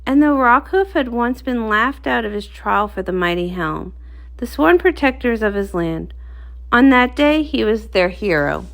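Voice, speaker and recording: A woman reading a storybook aloud as an expressive narrator. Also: fairly high pitch, measured pace, vocal fry.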